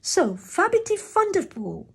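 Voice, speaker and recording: A woman's voice: very high-pitched, measured pace, gravelly, voice pushed up, exaggerated voice. A woman voicing a mischievous, playful male puddle creature with a tail and paws, speaking in bouncy rhymes. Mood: gleeful. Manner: bouncy, rhyming, excitable.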